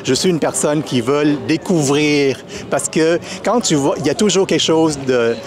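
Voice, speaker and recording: A man's voice: a little high, normal speed, a crowd in the background. A man born in Vietnam, a native Vietnamese speaker, answering street interview questions as a festival volunteer, hesitant and full of fillers and restarts. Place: outdoors at a city festival.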